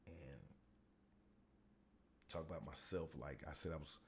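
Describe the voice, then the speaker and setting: Deep-voiced man, relaxed rambling podcast talk. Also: a little slow, a little hiss or hum. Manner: relaxed, rambling, conversational with frequent fillers. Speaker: podcast host and middle school football coach. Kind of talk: casual solo podcast talk.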